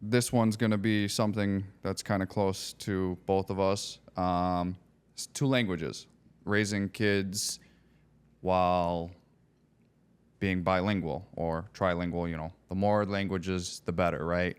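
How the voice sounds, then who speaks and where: A man's voice: deep voice, little pitch movement, slow pace, clear, resonant tone. A man co-hosting a podcast in casual chat, talking loosely and sometimes searching for words; Polish was his first language. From United States. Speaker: podcast co-host.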